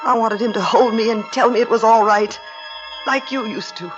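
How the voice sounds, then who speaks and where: Actress as an anxious, pleading young bride. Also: female voice, fairly high pitch, moderate pace, music in the background, close to an everyday voice. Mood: fearful, confiding. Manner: nervous, pleading, faltering. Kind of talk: 1940s radio drama acting. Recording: dull vintage radio recording.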